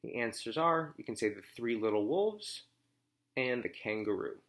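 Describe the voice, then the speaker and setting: A man's voice: mid-range voice, lively intonation, a bit bright. Man reading a children's story aloud. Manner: slow, deliberate phrasing, explaining simply to children. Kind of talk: read-aloud storytelling with comprehension questions.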